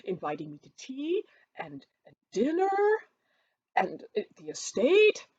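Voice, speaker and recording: A woman's voice: high-pitched, huge pitch swings, unhurried, gravelly, a little mellow, breathy edge, no echo, muffled recording, voice pushed up, heavily acted. A woman voicing a pompous, anxious, obsequious podgy clergyman in an audiobook, with a prim, stammering and flustered manner. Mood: flustered, fawning. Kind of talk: audiobook character voice.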